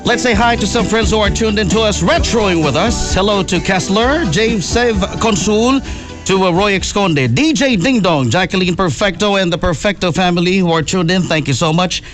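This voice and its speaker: A man working as a radio DJ, announcing songs in an upbeat style and giving friendly shout-outs to listeners. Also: high voice, moderate pace. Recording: radio aircheck with loud music behind. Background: loud pop music.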